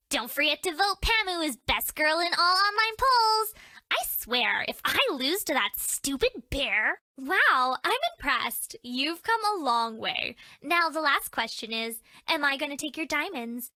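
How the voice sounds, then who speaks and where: A woman's voice: high-pitched, very animated, takes it slowly, sharp tone, dry recording, exaggerated voice. A woman voicing a brash, mischievous, lewd young woman in a dating game, with a teasing, theatrical delivery. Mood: gleeful taunting, then smug teasing. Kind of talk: video game character voice lines.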